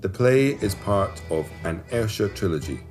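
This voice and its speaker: Very deep-voiced man announcing credits. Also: lively intonation, moderate pace, a little mellow, soft background music. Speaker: announcer reading drama credits. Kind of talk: scripted narration.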